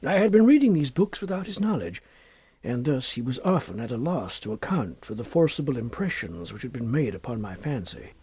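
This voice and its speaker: A man narrating a classic horror story as an audiobook, in a formal, measured, dramatic literary style with deliberate phrasing. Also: medium pitch, very animated, mellow, husky, no room sound.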